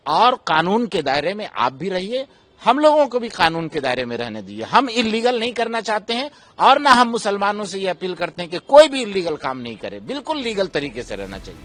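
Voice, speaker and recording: A male imam from India making an off-the-cuff statement, speaking emphatically and deliberately, often building up repeated lists. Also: high voice, very animated, a bit bright, dry recording. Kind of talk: press statement, spoken off the cuff.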